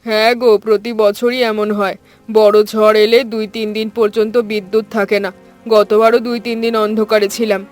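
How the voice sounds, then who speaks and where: A man's voice: high-pitched, level tone, normal speed, clear, resonant tone, faint music behind, natural voice. A man voicing a chatty, neighbourly woman shopkeeper in a cartoon story. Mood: matter-of-fact warning.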